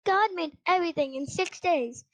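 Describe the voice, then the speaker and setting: A female reader with a high voice reading a children's Bible story aloud, halting now and then over words. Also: rough recording.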